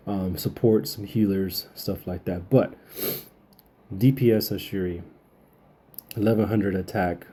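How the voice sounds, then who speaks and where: Low, husky-voiced man, mellow gaming commentary. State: getting over a cold, occasional cough. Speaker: gaming channel host. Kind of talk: casual game update commentary.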